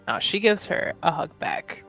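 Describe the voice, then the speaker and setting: A woman's voice: on the high side, fairly bright tone. A woman playing a tabletop roleplaying game, casually improvising and narrating what a character does.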